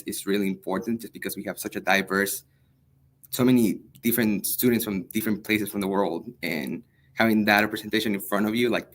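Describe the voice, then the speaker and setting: Earnest, hesitant young man, low voice. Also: a bit bright. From El Salvador. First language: Spanish. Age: young adult. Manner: hesitant, many ums, earnest. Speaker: high school history teacher. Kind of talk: interview answers.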